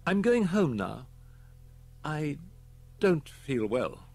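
A man with a British accent voicing an unwell, hesitant office worker in an audiobook, halting and drawn-out. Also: a little high, big pitch swings, a little mellow, voice pushed up, heavily acted. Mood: uneasy. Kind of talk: audiobook character voice.

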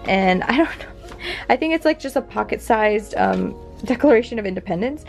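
A woman's voice: fairly high pitch, expressive, brisk, soft background music. A young woman chatting casually as she shows off old paper collectibles, rambling with fillers and little laughs. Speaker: vintage collection vlogger. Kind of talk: casual show-and-tell narration. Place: home near a big airport.

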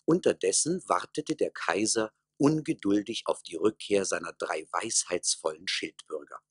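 A man's voice: low-pitched, moderate pace, breathy edge, no echo, clean studio sound. A man narrating a radio play in a scripted storybook style. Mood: wry storytelling.

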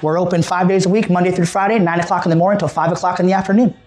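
A man's voice: sits high, flat delivery, very fast, a little mellow, a little hiss or hum. An American man in his thirties, a shop technician explaining and selling in a casual, salesman-like way. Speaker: radio repair shop technician. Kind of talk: casual product demo and shop pitch. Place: small cluttered radio repair shop.

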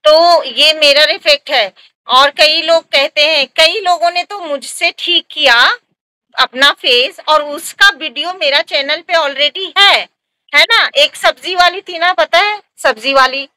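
A woman vlogger speaks in a high, bright voice. She gives casual skincare-style advice straight to viewers, hammering points home by repeating them and adding little check-in questions. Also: dry recording, poor audio. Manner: emphatic, repetitive, with frequent tag questions. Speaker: vlogger and skin treatment provider.

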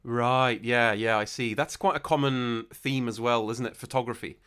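A man's voice: medium pitch, steady tone, normal speed, a bit bright, clear, resonant tone. A young man hosting a podcast over a video call, chatting casually with lots of restarts and fillers, on a studio mic. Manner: rambling, many restarts and fillers. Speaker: podcast host. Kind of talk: casual interview chat. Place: small room, video call. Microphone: studio mic with headphones.

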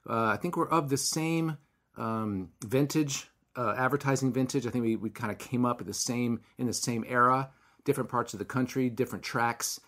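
Male podcast host, hoarse and raspy, wry rambling delivery, mid pitch. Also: moderate pace, a bit bright. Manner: rambling, self-deprecating, wry. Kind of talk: casual conversational interview.

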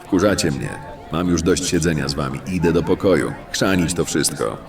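A man playing an irritable, threatening fantasy tough guy in an audio drama, gruff and curt. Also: a bass voice, normal speed, far from the mic, soft background music. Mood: irritated.

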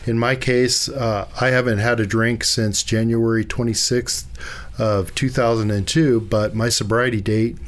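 A man's voice: mid-range voice, fairly even intonation, unhurried. Earnest middle-aged man telling his story. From Northern California. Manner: earnest, reflective, with frequent fillers. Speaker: guest. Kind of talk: personal storytelling in an interview.

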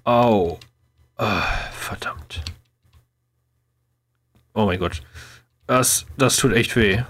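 A man voicing a wounded but determined young male captive in a fantasy game, halting and repeating words. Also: fairly low pitch, a bit bright, exaggerated voice. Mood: pained, dazed.

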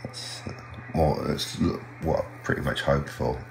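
An older man talking casually and haltingly in a low-key, unhurried way, like a hobbyist vlogging at home. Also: steady tone, creaky. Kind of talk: casual hobby vlog commentary. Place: small home radio room, indoors. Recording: roomy sound with faint hum.

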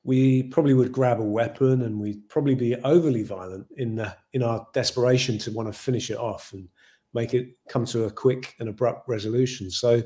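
English-accented man in his forties, mellow dark voice, thoughtful and rambling, over a video call. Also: medium pitch. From Ireland. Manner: thoughtful, rambling with many "you know" fillers. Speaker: film director. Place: home room with shelves.

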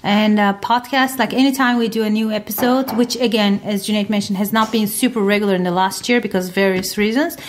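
A woman's voice: mid-range voice, steady tone, a little hiss or hum. A woman from Turkey, a science podcaster answering interview questions with many fillers. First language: Turkish. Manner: many fillers, talks in quick bursts.

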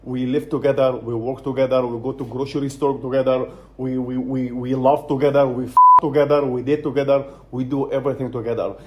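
A man's voice: medium pitch, flat delivery, fairly quick, few pauses, dull audio, a little hiss or hum. A man from Israel talking earnestly and emphatically straight into a phone camera, repeating phrases for effect. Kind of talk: direct-to-camera social video.

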